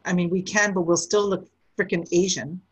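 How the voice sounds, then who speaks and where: A woman's voice: fairly low pitch, fairly even intonation, normal speed, slightly rough, low-quality recording. A woman from the United States co-hosting a podcast, explaining her views earnestly in long, casual stretches. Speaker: podcast co-host.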